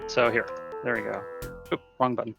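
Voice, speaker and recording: Male gamer, casual live play-by-play, some vocal fry, average pitch, soft music underneath. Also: flat delivery, fairly quick. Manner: informal, talking to the game while playing. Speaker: speedrunner. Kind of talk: live gameplay commentary.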